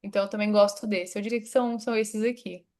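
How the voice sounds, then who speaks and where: A woman's voice: mid-range voice, steady tone, quick pace, darkish tone. A young Brazilian woman with a Brazilian Portuguese accent, a polyglot guest chatting casually and often tagging her sentences with "né?". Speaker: guest, polyglot content creator. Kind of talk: casual interview chat.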